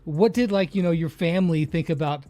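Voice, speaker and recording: A woman's voice: on the low side, fairly quick, warm tone. A woman hosting a casual interview, informal and affirming as she chats with her guest. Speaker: interviewer, host.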